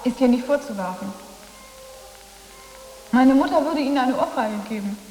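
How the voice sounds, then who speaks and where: A defiant, sarcastic schoolgirl in a radio drama. Her voice is high and pert, and she recites her lines precisely. Long echoes hang around it, as in an empty hall. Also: normal speed, darkish tone, soft background music. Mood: defiant, curt. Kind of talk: literary radio drama acting. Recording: echoing, reverberant hall.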